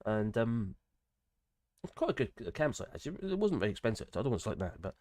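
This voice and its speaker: An older man with grandchildren, hosting a casual live stream, hoarse from a cold and chatting in a rambling way with many fillers and restarts. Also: on the low side, big pitch swings, quick pace, darkish tone. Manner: rambling, chatty, with frequent fillers and self-corrections.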